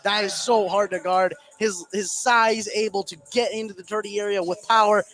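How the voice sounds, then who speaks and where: A man's voice: sits high, fairly even intonation, moderate pace, fairly bright tone. A man doing live play-by-play basketball commentary on the radio, narrating in short clipped phrases. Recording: noisy gym ambience behind. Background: ambient noise of a basketball game.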